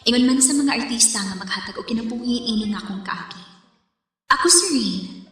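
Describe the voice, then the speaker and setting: An actress playing an earnest young woman student in a Cebuano Filipino radio drama, with a husky voice. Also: on the high side, fairly quick, faint music behind, lightly acted. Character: Rain, hurt young woman, nursing student telling her story.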